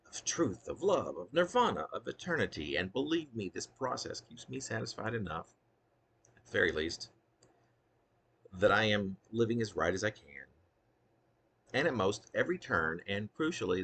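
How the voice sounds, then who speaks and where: Low, gravelly man reading poetry. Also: a bit bright. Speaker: poet reading own work. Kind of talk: spoken poetry reading with casual asides.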